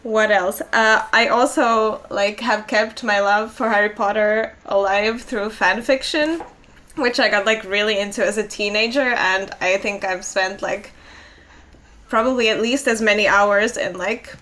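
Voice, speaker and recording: A woman's voice: mid-range voice, fairly even intonation, a little slow, pushed and bright. A young adult woman, a native Finnish speaker, chatting casually on a podcast, filling her talk with "like" and little laughs. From Finland. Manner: chatty, many likes and laughs. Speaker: podcast co-host. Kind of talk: casual chat.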